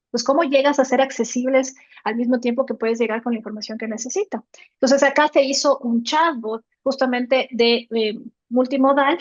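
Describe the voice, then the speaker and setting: Podcast co-host, woman, slightly high voice, step-by-step explainer with frequent tag questions; dry, dull lo-fi sound. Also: no room sound. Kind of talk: explanatory podcast talk on tech.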